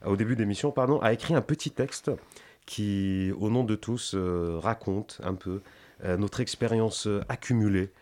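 A man's voice: fairly low pitch, moderate pace, a little mellow. A young man hosting a student radio show in a studio, talking casually and hesitantly with many fillers and self-corrections. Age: young adult.

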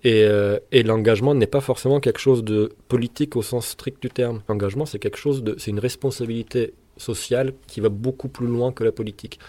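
A man's voice: mid-range voice, steady tone, fairly quick, few pauses, warm tone. A man whose first language is Turkish, a rock singer and guitarist answering interview questions in a thoughtful, opinionated way with frequent hesitations.

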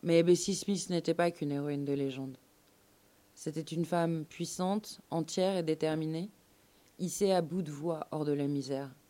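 A woman narrating a scripted radio documentary story in measured, literary phrasing. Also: low-pitched, dark tone.

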